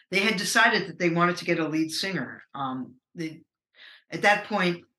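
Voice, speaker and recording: A middle-aged American woman with a low voice, a musician talking casually in an interview, rambling and hesitant with many fillers. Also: bright, forceful tone, roomy sound, low-quality recording. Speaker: musician guest.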